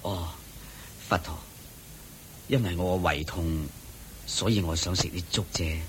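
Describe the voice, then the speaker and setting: An actor playing a humble monk with an upset stomach, speaking softly and apologetically in a radio play. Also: male voice, deep voice, fairly even intonation, a little slow, a little hiss or hum. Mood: meek. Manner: soft, apologetic, sometimes whispery. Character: meek humble male monk, ailing. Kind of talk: Buddhist radio drama acting.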